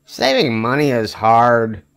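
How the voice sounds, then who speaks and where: An older man reading a children's picture book aloud expressively, with emphatic phrasing and drawn-out wry punchlines. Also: medium pitch, sing-song, creaky, fairly bright tone, smooth. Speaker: storybook reader.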